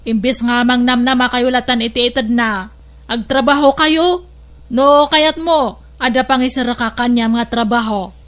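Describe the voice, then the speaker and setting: This is a stern older sister-in-law, voiced by a woman in an audio drama. She has a bright, slightly high voice and a lecturing, no-nonsense delivery. She fires off pointed questions. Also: fairly even intonation, normal speed, lightly acted. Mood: scolding.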